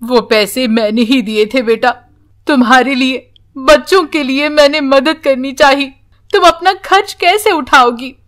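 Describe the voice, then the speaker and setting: A woman voicing a kindly older village uncle in a storybook tale, gentle and earnest. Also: high-pitched, a little slow. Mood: remorseful.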